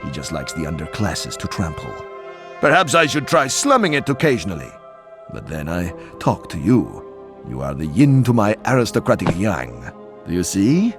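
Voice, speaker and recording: Low, swooping, snobbish aristocrat. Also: male voice, huge pitch swings, normal speed, over music, full character voice. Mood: haughty, flippant. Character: snobbish, flippant male aristocrat looking down on commoners. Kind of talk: video game cutscene acting.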